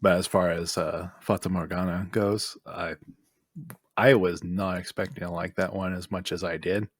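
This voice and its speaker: Low-voiced man in casual podcast chat. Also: level tone, normal speed, no room sound, studio quality. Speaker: podcast panelist.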